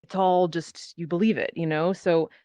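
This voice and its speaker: A woman's voice: fairly low pitch, fairly even intonation, moderate pace, dark tone, no echo. A woman speaking informally as a panelist, telling stories animatedly in a casual discussion over online voice chat. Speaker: panelist, VR theatre performer and show host. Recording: dull lo-fi voice chat audio.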